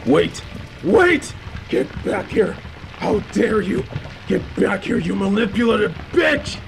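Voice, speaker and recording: A man's voice: high-pitched, sing-song, takes it slowly, gravelly, heavily acted, poor audio. A man playing an indignant villain in an audio drama, sputtering and incredulous, with music behind the voice. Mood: outraged. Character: indignant, flustered male villain caught by a heroine. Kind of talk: audio roleplay drama acting.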